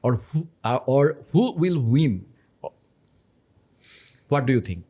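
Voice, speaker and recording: A talkative man from Bangladesh, Bangla his first tongue, leads a loose conversation in a mid-pitched voice. He echoes his guest's lines back, trips over words here and there and laughs along. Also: slow pace, breathy edge. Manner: energetic, repeats guest's points, stumbles over words, laughs. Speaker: host. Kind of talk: casual podcast conversation.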